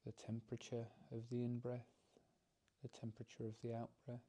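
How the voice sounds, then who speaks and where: A man leading a guided meditation as its teacher, speaking calmly and gently, haltingly, with frequent pauses and repeated phrases. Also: mid-range voice. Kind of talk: guided meditation, softly instructive.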